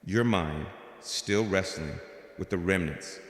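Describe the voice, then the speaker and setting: A man with a very low voice reads a devotional script slowly and gently, speaking as God in the first person. His soothing delivery rings out with a long, hall-like reverb around it.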